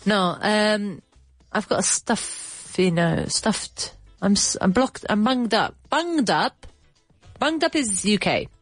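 A woman's voice: medium pitch, expressive, slow pace, no echo, faint music behind. A woman hosting a casual bilingual chat, explaining English words, chatty and laughing, with a runny nose. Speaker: podcast host teaching English.

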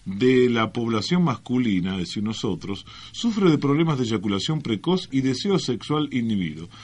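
Husky Argentine man, animated radio banter. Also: mid-range voice, normal speed. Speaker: radio show host. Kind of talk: casual comic banter, reading press clippings aloud. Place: radio studio.

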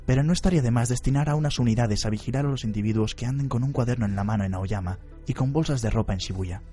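A watchful detective acted by a man in a radio drama. His mellow voice moves briskly and in a businesslike way, with faint music underneath. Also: medium pitch, close to an everyday voice. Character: measured, watchful male detective directing a team.

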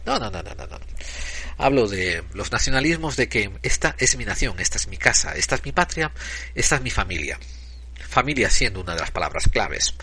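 A man giving an opinion monologue, speaking emphatically and deliberately, at times searching for his words. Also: fairly low pitch, big pitch swings, bright, forceful tone, a little hiss or hum. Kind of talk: opinion monologue to camera or microphone.

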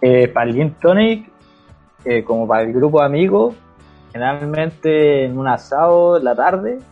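A man talks casually as a podcast panelist. His voice is a bit high for a man. It comes through thin and phone-like, with music in the background. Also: animated, moderate pace. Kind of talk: casual chat.

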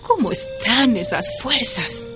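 Gentle teacher friend, woman, slightly high voice, slow, consoling, worried, radio drama, faint music behind. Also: animated. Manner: soft, slow, coaxing.

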